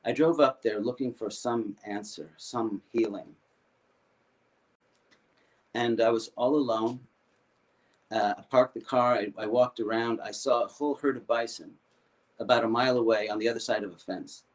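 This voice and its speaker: An older American man telling a personal story in a halting way, often repeating and restarting his words. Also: mid-range voice, steady tone, rough recording. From United States. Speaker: foundation founder.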